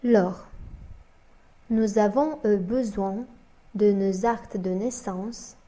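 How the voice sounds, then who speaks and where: A woman slowly reading a scripted learner dialogue aloud, naming each speaker before their line. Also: medium pitch, mellow. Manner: slow, deliberate, reads both parts and announces each speaker.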